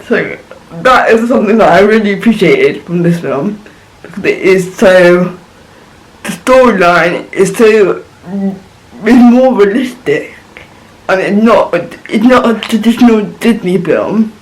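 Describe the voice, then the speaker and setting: A man's voice: high-pitched, animated, unhurried, poor audio. A man vlogging a casual film reaction, rambling and searching for words as he gushes.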